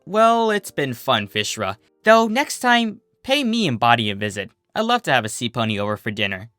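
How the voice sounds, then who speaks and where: A narrator putting on an excitable, teasing cartoon pony girl. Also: medium pitch, moderate pace, no room sound, soft background music. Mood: friendly. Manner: exclamatory, joking, dramatic. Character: excitable, teasing young female pony, playful joker.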